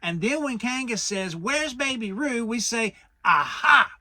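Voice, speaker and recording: A bossy storybook rabbit, acted out by a man, high and loud. He is self-important and easily exasperated, spelling out his points with careful emphasis and big swoops of pitch. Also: animated, exaggerated voice. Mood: scheming, bossy. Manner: emphatic, slow and careful explaining.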